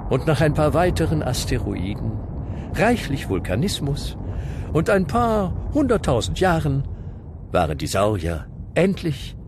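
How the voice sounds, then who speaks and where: A man's voice: fairly high pitch, huge pitch swings, measured pace, background noise, full character voice. A man playing a wry, grandly solemn storyteller narrating a comic radio series. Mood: mock-solemn. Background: music.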